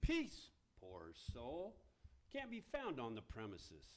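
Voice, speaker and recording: A man's voice: medium pitch, wildly swooping pitch, takes it slowly. A man playing a florid, theatrical messenger of hell who declaims in rhyme, in an amateur radio play. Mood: grandly ominous. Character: male herald of hell, florid messenger summoning damned souls.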